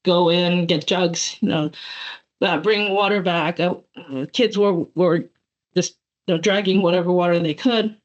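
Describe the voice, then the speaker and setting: A woman's voice: fairly low pitch, steady tone, a little slow. A woman in her forties from Japan, giving a webinar lecture as a guest professor in a chatty way, with many fillers and self-corrections. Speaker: guest speaker, associate professor. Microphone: computer mic on video call. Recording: dull lo-fi call audio.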